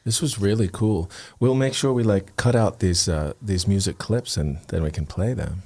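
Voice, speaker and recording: A man's voice: on the low side, normal speed, dark tone. A man hosting a radio interview in a studio, enthusiastic and stumbling over his words. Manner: enthusiastic, stammering restarts. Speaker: radio host. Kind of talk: casual interview chat. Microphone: studio microphone with headphones.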